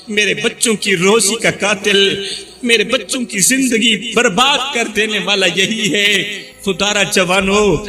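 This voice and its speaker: Male Islamic preacher, high voice, dramatic exhortative sermon delivery with emphatic repetition. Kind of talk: dramatic Urdu devotional sermon.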